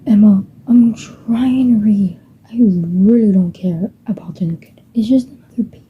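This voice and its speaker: A sulky bookish boy, voiced by a woman who shrugs everything off in a flat, dismissive tone. The recording is dull and muffled, with a faint hiss behind it. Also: mid-range voice, normal speed, lightly acted. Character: bored grumpy bookish boy.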